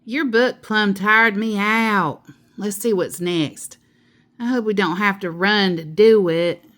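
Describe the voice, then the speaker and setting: A woman's voice: medium pitch, takes it slowly, fairly bright tone. A woman voicing a cheerful, literal-minded, folksy woman teacher in a children's storybook, full of exclamations. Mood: brisk, friendly.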